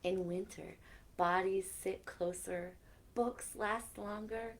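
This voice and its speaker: A woman's voice: mid-range voice, fairly even intonation, takes it slowly. A woman hosting a children's library story time, reading picture books aloud expressively with chatty asides, on a noisy live-stream recording.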